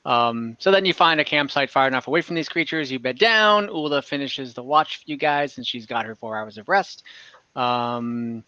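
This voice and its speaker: Bright-voiced man running a tabletop game. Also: medium pitch, normal speed, no echo, muffled recording. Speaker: game master. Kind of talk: narrating an online tabletop game, improvised.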